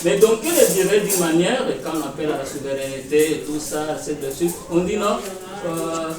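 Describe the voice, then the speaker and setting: A man's voice: a little high, animated, moderate pace, a crowd in the background. A man from Kinshasa speaking emphatically and indignantly in a debate, repeating his points. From Congo (Kinshasa). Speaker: audience member. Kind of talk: impassioned debate intervention. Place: conference hall with audience. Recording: echoey hall, distant mic, audience.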